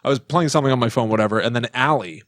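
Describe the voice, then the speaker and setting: A man's voice: mid-range voice, very fast, a bit bright, no room sound. A man co-hosting a podcast, telling anecdotes in casual, informal conversation. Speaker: podcast co-host.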